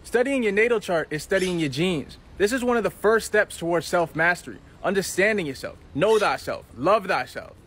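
A young man with a notably high voice lectures into his phone outdoors. His delivery is preachy and declarative, each line stated like a rule. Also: lively intonation, normal speed, few pauses, a bit bright, husky. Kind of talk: spiritual advice clip.

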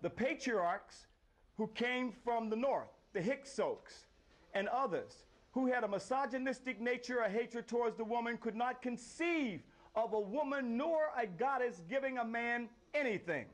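A man in his fifties teaching a group outdoors by an ancient temple wall, often pausing to quiz his listeners for answers. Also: very high voice, animated. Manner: questions the group to draw answers. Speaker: lecturer leading a tour.

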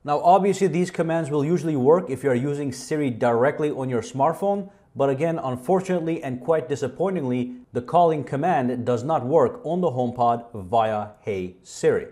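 A man's voice: mid-range voice, fairly quick, hardly any pauses, mellow. A man hosting a tech tutorial, narrating a casual how-to demonstration.

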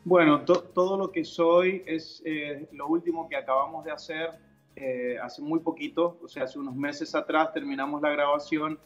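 A man's voice: a little high, steady tone, moderate pace, highs cut off, faint music behind. A middle-aged man from Chile, answering interview questions casually as a guest on a video call. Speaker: interview guest, pastor and worship musician. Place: indoor room at home, on a video call. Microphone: video call device microphone.

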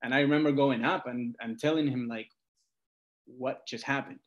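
A man from Argentina speaks as a musician guest in an interview. His pitch is medium for a man. He talks informally, in a loose storytelling way, with frequent little conversational asides. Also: fairly even intonation, moderate pace, dull audio. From Argentina, Buenos Aires. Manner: informal, talks in stories, often says "like" and "you know". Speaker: guest musician, singer-songwriter. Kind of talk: casual interview chat.

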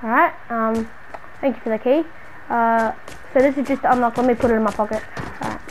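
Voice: mid-range voice, fairly even intonation, a little fast, slight background noise, lightly acted, low-quality recording. A young performer playing a brash, exasperated young man in an improvised game roleplay, slangy and repeating bro and man. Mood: casual, chatty.

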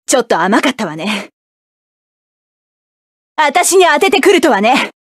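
A woman's voice: high-pitched, sing-song, unhurried, no room sound, clean studio sound, full character voice. An actress playing a proud, cool-headed female mecha pilot who barks clipped, scornful battle lines. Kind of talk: video game battle voice lines.

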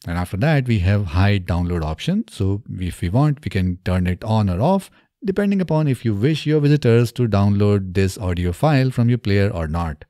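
A man in his thirties presents a software walk-through in a slightly low, soft-edged voice. His intonation rises and falls a lot as he moves methodically from step to step. The sound is close and dry, from a studio microphone. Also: no echo. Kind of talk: step-by-step screen tutorial narration. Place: small indoor home studio. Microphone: close studio microphone on boom arm.

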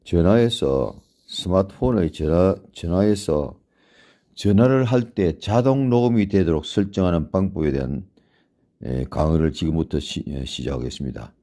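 An older man giving a step-by-step tutorial in a deliberate way, repeating phrases for beginners. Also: deep voice, warm tone. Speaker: smartphone tutorial instructor. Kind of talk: step-by-step screen tutorial, spoken off the cuff.

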